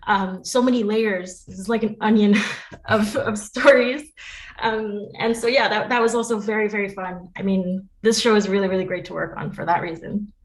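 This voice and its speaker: A woman talking casually as an interview guest about her work as a producer, chatty and quick to laugh. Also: mid-range voice, fairly even intonation. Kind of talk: casual, animated interview chat.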